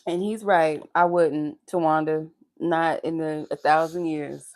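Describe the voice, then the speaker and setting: Young adult woman, low pleasant voice, frank and profane, casual storyteller, close mic. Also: steady tone, a little slow, a little mellow. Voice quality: soothing, pleasant voice. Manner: laughs while talking. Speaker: live tarot reader. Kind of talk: casual live-stream chat.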